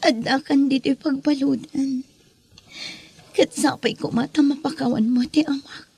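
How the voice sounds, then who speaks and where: A woman's voice: high voice, fairly even intonation, measured pace, creaky, a little mellow. A woman playing a sorrowful, pleading mother in an Ilocano radio drama, with an Ilocano Filipino accent and earnest delivery.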